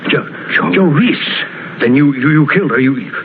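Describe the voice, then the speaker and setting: An actor playing a flustered police captain who stammers and placates in a 1940s radio suspense drama. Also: male voice, a little high, lively intonation, moderate pace, slight background noise, close to an everyday voice. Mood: alarmed, pleading. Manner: stammering, placating, repeating himself. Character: flustered, alarmed police captain pleading with gunman. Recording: tinny, muffled old radio broadcast.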